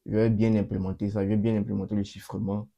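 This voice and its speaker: Young guy with a cold walking thru his code, low dark voice, keeps repeating himself. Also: little pitch movement, quick pace, creaky, muffled recording. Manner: repeats himself, many fillers and restarts. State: has a cold, voice a bit off. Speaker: channel host. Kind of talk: casual screen-recorded coding walkthrough.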